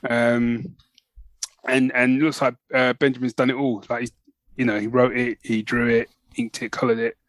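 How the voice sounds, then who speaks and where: A man's voice: mid-range voice, flat delivery, normal speed, dry recording, rough recording. A man co-hosting a podcast, chatting casually and enthusiastically in loose, conversational phrases. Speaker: podcast host. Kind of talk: casual chat about comics.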